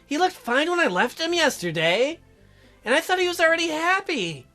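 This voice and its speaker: A man's voice: extremely high pitch, very animated, moderate pace, sharp tone, soft background music, pitched above the performer's own voice, exaggerated voice. A man voicing an earnest, curious teenage girl in a dating sim, exaggerated and questioning as he reads her dialogue. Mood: eager, curious.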